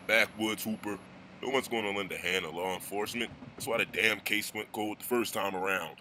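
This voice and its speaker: A man's voice: on the low side, a little fast. A man playing a blunt, grumbling lawman with a dry, profane manner in a motion comic drama. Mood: sardonic, grumbling. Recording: loud background noise, insects.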